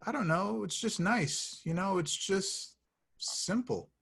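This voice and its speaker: Man acting young male slacker, awkward, defensive, deadpan, casual table read. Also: high-pitched, slow pace, gravelly, slightly rough, heavily acted. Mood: sheepish.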